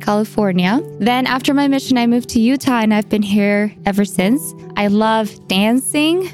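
A young woman from Colombia hosts a podcast in a friendly, earnest tone, her still shaping her speech. Her pitch sits at an ordinary middle, and soft music plays faintly beneath her intro. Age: young adult. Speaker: podcast host. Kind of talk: scripted intro and casual interview.

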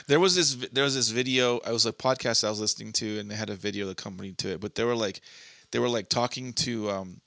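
Podcast co-host from Southern California, male, bright voice, rambly and profane, close dry mic. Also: lowish voice, fast talker, creaky, no room sound. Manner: rambling, many restarts and "like" fillers, swears. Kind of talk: casual podcast chat.

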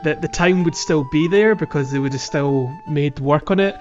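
A man hosting a podcast, chatting casually about a video game and thinking out loud as he goes. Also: a little high, moderate pace, highs cut off, soft background music. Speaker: podcast host.

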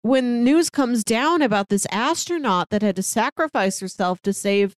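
A woman's voice: on the high side, animated, moderate pace, dry recording, studio quality. A woman chatting casually about a film as a podcast co-host, often laughing lightly through her words.

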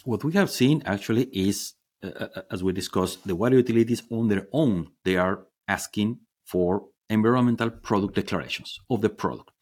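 Low-voiced man hosting an interview. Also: fairly quick, few pauses, a little mellow. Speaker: podcast host. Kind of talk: conversational interview.

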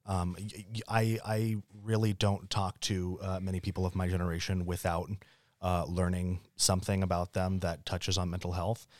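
Deep-voiced, halting young man. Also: little pitch movement, darkish tone, no room sound. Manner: halting, hesitant phrasing with many pauses. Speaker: podcast guest. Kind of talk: thoughtful casual discussion.

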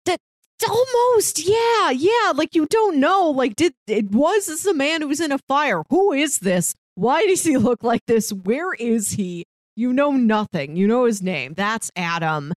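A woman's voice: sits high, lively intonation, measured pace, no room sound, clean studio sound. A woman co-hosting a podcast, talking casually through a recap of a TV series. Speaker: podcast co-host.

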